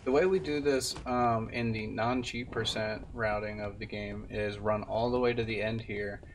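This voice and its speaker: A man's voice: on the low side, steady tone, normal speed, a little hiss or hum. A young man, a game streamer, giving casual speedrun commentary. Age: young adult. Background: game music.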